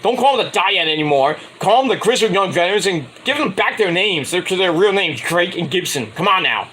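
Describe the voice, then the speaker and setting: A man talks through a wrestling show recap on his own, rambling, correcting himself and tossing in sarcastic asides. His voice is high and very animated, with a bright edge. He is coming down with a cold, and a faint hiss of noise sits behind him. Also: fairly quick. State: getting a cold, voice going. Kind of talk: casual solo review recap.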